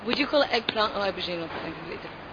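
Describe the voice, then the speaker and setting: A hoarse woman who has lost her voice, asking curious questions while shopping at an outdoor market. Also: mid-range voice, expressive, rapid-fire, highs cut off, background noise. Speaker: co-host learning Cantonese words.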